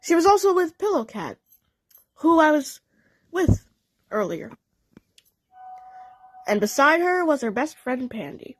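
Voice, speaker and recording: A woman's voice: high voice, very animated, unhurried, long pauses, no room sound, low-quality recording. A woman narrating a story dramatically in the first person, as in an audio play. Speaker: narrator and voice actor.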